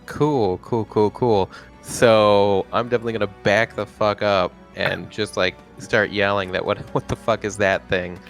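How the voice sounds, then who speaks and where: Low, gravelly man playing a tabletop game. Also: steady tone, a little slow, soft background music. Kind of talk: casual chat.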